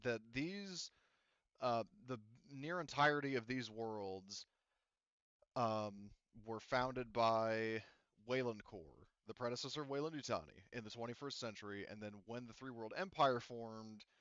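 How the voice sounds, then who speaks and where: A man's voice: medium pitch, unhurried, a bit bright, clear, resonant tone, no echo, muffled recording. A man running a tabletop roleplaying game as game master, rambling and hesitant with many fillers and restarts.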